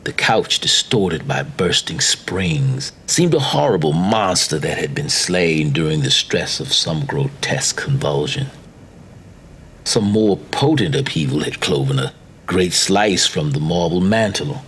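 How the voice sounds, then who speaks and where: A man with a low voice narrates a classic macabre story. His delivery is theatrical and deliberately phrased, in the manner of dramatic literary reading, over faint background noise. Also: expressive, a bit bright. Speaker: story reader.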